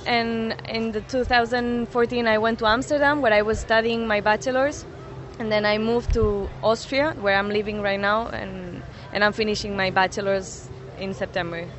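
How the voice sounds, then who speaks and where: A woman in her twenties from Spain, answering interview questions outdoors in halting English with many restarts. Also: mid-range voice, steady tone. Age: 20s. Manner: halting, restarts often, non-native English. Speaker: interviewee, saxophonist. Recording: noisy street background.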